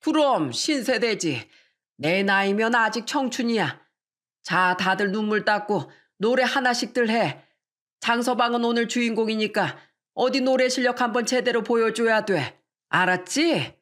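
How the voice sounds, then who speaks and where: A woman's voice: a little high, a little slow, fairly bright tone, voice pushed up. A woman voicing a doting, sentimental father-in-law of about seventy in a story, in a folksy, fatherly way. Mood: jovial. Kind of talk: scripted story dialogue.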